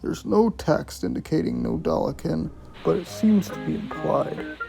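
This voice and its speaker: A man's voice: on the high side, unhurried, creaky, music in the background. A man hosting a video review, reading scripted commentary with some casual, profane asides.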